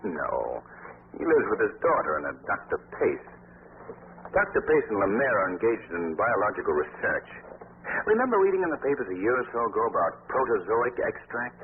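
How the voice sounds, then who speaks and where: A man acting an urbane, self-assured young gentleman sleuth in a 1940s radio play, breezy and cultivated. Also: lowish voice, huge pitch swings, normal speed. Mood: casually confident. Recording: tinny, noisy 1940s radio recording.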